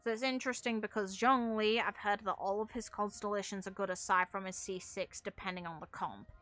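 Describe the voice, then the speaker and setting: Woman, bright forceful voice, mid pitch, casual gaming streamer, opinionated and sweary, music in the background. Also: steady tone, fairly quick. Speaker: video game streamer. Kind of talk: casual game commentary.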